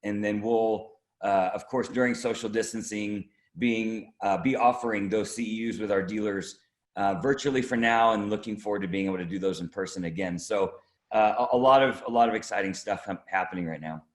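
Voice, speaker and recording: Male interviewee, lowish pitch, marketing director, halting conversational delivery, strong room echo. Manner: halting, hedging, conversational explanation. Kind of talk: product preview interview.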